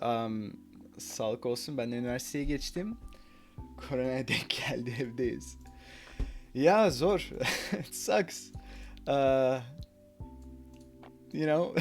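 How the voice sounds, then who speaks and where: A young man from the United States hosting a live stream, chatting casually with viewers, laughing often and dropping into Turkish now and then. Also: medium pitch. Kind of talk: casual chat with chat readers.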